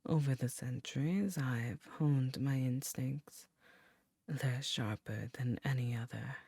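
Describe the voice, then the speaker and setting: A woman voicing an ancient succubus queen, tender and soothing, in a soft, breathy, intimate ASMR roleplay. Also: extremely low pitch, dry recording, clean studio sound, exaggerated voice. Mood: tender, solemn. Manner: slow, intimate, reassuring.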